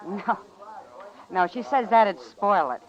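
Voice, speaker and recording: A woman's voice: mid-range voice, sing-song, takes it slowly. An actress with an American accent playing an easygoing, worldly saloon woman in a radio western, chatty and familiar. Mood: gossipy, frank. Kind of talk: old-time radio western acting. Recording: muffled old-time radio recording.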